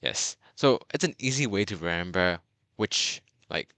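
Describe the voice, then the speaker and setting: Low-voiced bright man tutoring. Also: animated, a little slow, no room sound. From Burma. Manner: chatty, many fillers and restarts. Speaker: language tutor. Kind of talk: casual video lesson.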